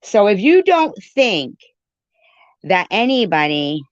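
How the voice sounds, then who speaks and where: Slow, dry, swooping woman ranting on stream. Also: mid-range voice, sing-song, dry recording, lo-fi sound. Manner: irritated, emphatic, repeats phrases for emphasis. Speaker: live streamer. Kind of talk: informal live-stream rant, commentary to chat.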